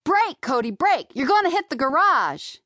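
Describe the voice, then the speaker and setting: A middle-school boy, played by a woman, calls out in an audiobook. He is friendly, brisk and offhand, with a raised pitch. The recording is dry. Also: very animated, sharp tone, no room sound, heavily acted. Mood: urgent shouting. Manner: calling out, brisk and casual. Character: friendly, casual middle-school boy calling out while running.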